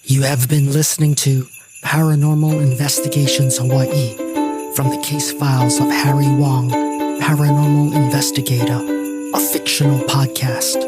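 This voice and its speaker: Male storyteller over clear music. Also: mid-range voice, flat delivery, normal speed. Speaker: podcast storyteller. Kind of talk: scripted dramatic story narration. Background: music under the narration.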